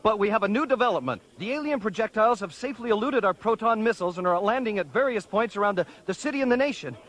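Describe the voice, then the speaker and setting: A man playing a shaken news anchor delivering a halting, formal emergency bulletin in an audio drama. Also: high voice, lively intonation, fairly bright tone. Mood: shaken, urgent. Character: shaken male news anchor reporting an invasion.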